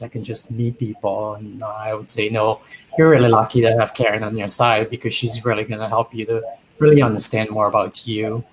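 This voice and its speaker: A man's voice: lowish voice, low-quality recording. Earnest fifties man on a video call, thoughtful, faint noise behind. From Trinidad. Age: 50s. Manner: earnest, thoughtful, many ums. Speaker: dating show guest. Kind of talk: casual reflective talk.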